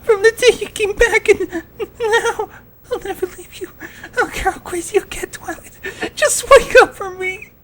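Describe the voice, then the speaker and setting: A woman voicing a devoted young male dragon sidekick, pleading and high-strung, in an animated fan drama. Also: measured pace. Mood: desperate, tearful.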